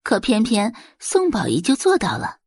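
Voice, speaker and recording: A woman's voice: a little high, wildly swooping pitch, normal speed, husky, dry recording, studio quality, full character voice. A woman voicing an admiring middle-aged schoolteacher in an audio drama, approving and emphatic.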